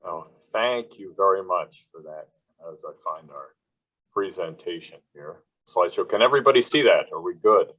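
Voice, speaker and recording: A man's voice: deep voice, lively intonation, moderate pace, a bit bright, husky, rough recording. A man from Wisconsin giving a presentation on a video call, rambling with many fillers and restarts. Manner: rambling, with frequent fillers and self-corrections. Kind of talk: webinar presentation.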